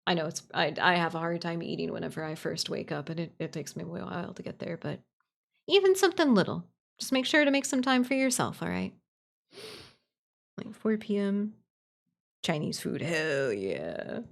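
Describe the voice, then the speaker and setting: A woman's voice: fairly low pitch, sing-song, normal speed, gravelly. A woman chatting casually on a livestream, answering viewers in a gushing way and repeating phrases for emphasis. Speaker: livestream host.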